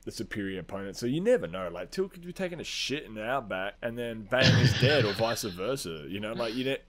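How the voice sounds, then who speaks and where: A man, co-hosting a podcast in a casual, animated, rambling and sweary debate about science fiction. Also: medium pitch, fast talker. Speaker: podcast co-host.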